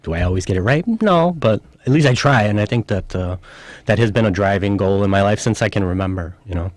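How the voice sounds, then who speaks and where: A man's voice: fairly low pitch, fast talker, no echo. A man from Chicago who is a guest on a radio show, reflecting earnestly as he recalls his school years. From northwest side of Chicago, USA. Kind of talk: radio interview.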